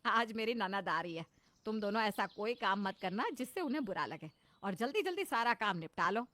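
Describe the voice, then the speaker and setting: A woman's voice: medium pitch, animated, normal speed, noisy background, natural voice. A woman playing a mild, simple middle-aged mother-in-law in a cartoon moral story, cautious and homely. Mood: anxious.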